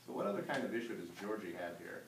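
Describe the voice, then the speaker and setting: A man's voice: low-pitched, big pitch swings, fast talker. A man teaching a class, reading a story aloud and putting questions to his pupils. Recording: roomy distant mic with background noise.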